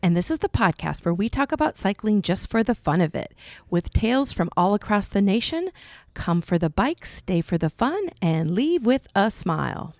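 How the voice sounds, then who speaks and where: A woman co-hosting a cycling podcast in casual chat, her voice a little hoarse, laughing often. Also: mid-range voice, very animated, normal speed, a little mellow. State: slightly hoarse. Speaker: podcast co-host.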